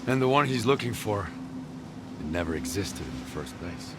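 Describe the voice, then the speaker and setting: Brief: a bitter, secretive loner, very deep voice, guarded delivery, noisy game ambience. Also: male voice, very animated, moderate pace. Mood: grim. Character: grim secretive male stalker loner, bitter and distrustful. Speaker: video game character. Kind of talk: video game cutscene voice acting.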